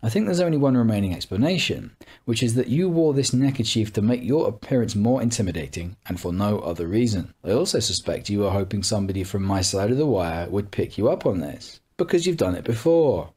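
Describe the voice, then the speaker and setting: A man's voice: fairly low pitch, expressive, fairly quick, few pauses, darkish tone, slightly rough. A man reading a scripted narration in a pointed, sarcastic, accusatory manner. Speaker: narrator of a call-out video.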